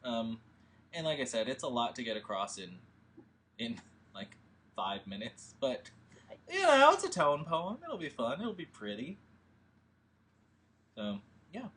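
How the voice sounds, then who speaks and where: A man hosting a casual livestream, talking in a rambling, halting way as he thinks aloud. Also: mid-range voice, sing-song, a bit bright. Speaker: host and filmmaker.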